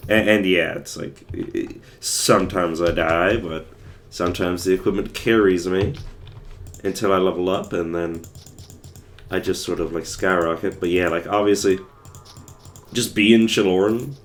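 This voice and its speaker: A young man with a very low, hoarse voice that is wearing out. He rambles through his gameplay commentary with lots of fillers and restarts, talking to chat as he plays. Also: steady tone, unhurried, slight background noise. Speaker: livestreamer playing a game.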